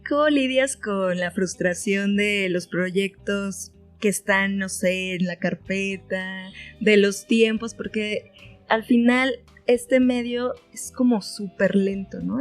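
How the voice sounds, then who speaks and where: A woman's voice: mid-range voice, fairly even intonation, slow pace, soft background music. A woman with a Mexican accent hosting a casual interview in an attentive, informal manner. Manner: attentive, informal, frequent short acknowledgements. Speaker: podcast host, interviewer.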